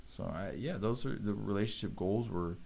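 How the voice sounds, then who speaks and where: A man's voice: on the low side, normal speed, creaky, a little mellow. A young man hosting a casual livestream chat, talking in a laid-back, rambling way and laughing often. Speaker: show host.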